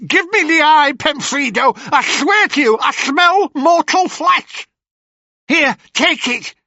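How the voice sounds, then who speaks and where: A shrill, screeching ancient hag, frantic and greedy, her cries leaping far above his natural register. The delivery drags slowly, and the dull, dry sound keeps it close and muffled. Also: animated, pushed and bright, dry recording, heavily acted. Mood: shrieking. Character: shrieking ancient crone sisters, greedy and frantic.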